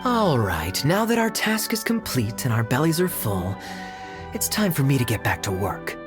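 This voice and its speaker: An actor playing a suave, teasing young cavalry captain in a fantasy video game, with a drawling, playfully polite manner. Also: male voice, medium pitch, big pitch swings, normal speed, over music, natural voice. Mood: playful, courteous. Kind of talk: video game cutscene voice acting.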